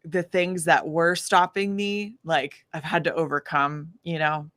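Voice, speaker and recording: A woman in her thirties with a slightly low voice, recorded close and dry. She talks as an enthusiastic interview guest in a casual, chatty way, gushing along with plenty of filler words. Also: measured pace, no echo. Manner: animated, gushing, with frequent "like" and "you know". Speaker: interview guest, independent singer-songwriter.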